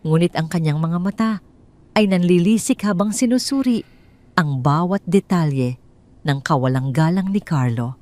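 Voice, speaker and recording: Filipino lady narrating a dramatic audio story, voice a bit on the low side. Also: moderate pace, a little mellow.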